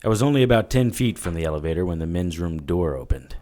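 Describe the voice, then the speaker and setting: A world-weary supernatural investigator narrates in a very deep voice. He is dry and wry, telling his own story in noir audio drama style. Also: lively intonation, quick pace, dark tone. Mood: dry, resolute. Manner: dry, matter-of-fact, wry.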